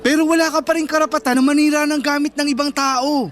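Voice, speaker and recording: High-pitched, earnest Filipino teenage boy. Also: steady tone, fast talker, fairly bright tone. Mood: indignant, firm. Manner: earnest, reassuring. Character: protective, fair-minded teenage schoolboy boyfriend, gently earnest. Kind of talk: radio drama acting.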